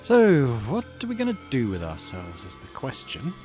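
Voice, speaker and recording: A man hosting a gaming video, casually musing to himself in a low-key way and reading story text aloud as he plays. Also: huge pitch swings, normal speed, darkish tone, faint music behind.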